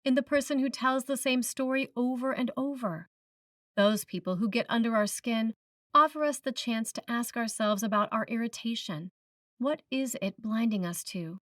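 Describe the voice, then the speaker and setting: A woman preacher reading a written sermon in a measured, reflective manner, putting questions to the listener. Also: on the high side, a bit bright, no echo, studio quality, far from the mic. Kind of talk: sermon, read from a script.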